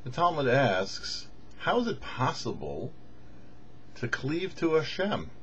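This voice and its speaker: A man, a rabbi, giving scripted religious teaching with deliberate, emphatic phrasing and pauses. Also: medium pitch, sing-song, a bit bright, lo-fi sound, a little hiss or hum. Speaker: rabbi, host of a weekly Torah show.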